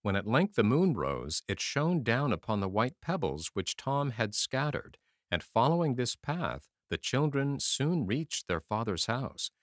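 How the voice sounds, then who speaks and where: A man narrating a fairy tale as an audiobook reader, in a measured, expressive storytelling manner. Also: mid-range voice, no echo, studio quality.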